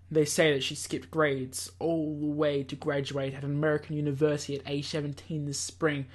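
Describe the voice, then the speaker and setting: A man's voice: fairly high pitch, fairly bright tone. A man hosting a let's play, reading a visual novel aloud theatrically and adding casual, offhand asides. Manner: theatrical read-aloud mixed with offhand commentary. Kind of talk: game narration with casual asides.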